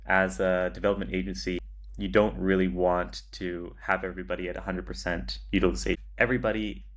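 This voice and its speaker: A man with a low voice and little melodic movement talks casually as a podcast guest. He is measured and matter-of-fact, pausing as he works out his answers. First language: English. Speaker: podcast guest, agency founder. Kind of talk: casual interview conversation.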